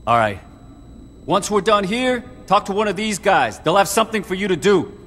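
Tough gang boss in echoing hall. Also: male voice, sits high, big pitch swings, normal speed, fairly bright tone, a little hiss or hum. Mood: commanding, rallying. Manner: terse, profane street talk. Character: tough, blunt, commanding male street gang boss. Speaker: voice actor in a video game. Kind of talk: video game cutscene acting.

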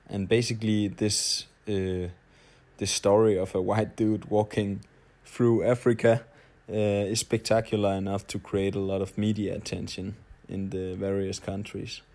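A man in his late twenties from Denmark with a Danish accent, telling his story in a halting, hesitant audio-blog monologue. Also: fairly low pitch, a little mellow. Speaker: traveler, audio blogger.

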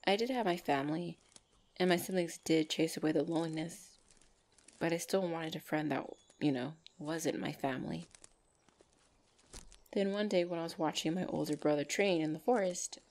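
A woman playing a gentle, shy orc lover in an ASMR roleplay, speaking softly and hesitantly with tender pauses. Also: sits low.

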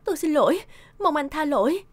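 A woman playing a sharp-tongued but caring young mother who minds a repair shop, in an expressive animated cartoon dub. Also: sits high, brisk. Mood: panicked. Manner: expressive, scolding or fussing. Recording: music behind the voice.

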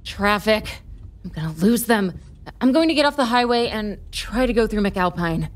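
A woman playing a pushy, driven crime reporter in an audio drama, brisk and insistent. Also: mid-range voice, lively intonation, lightly acted. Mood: hurried. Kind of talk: naturalistic audio drama acting.

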